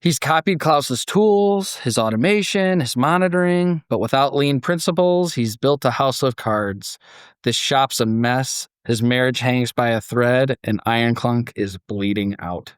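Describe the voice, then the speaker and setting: A man's voice: medium pitch, a little slow, smooth, no room sound, clean studio sound. A man hosting a podcast, moving between dramatic story narration and casual discussion.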